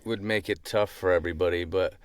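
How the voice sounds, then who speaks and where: A man's voice: deep voice, steady tone, brisk, no echo. A man co-hosting a podcast, bantering casually in an informal, wry way. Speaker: podcast co-host.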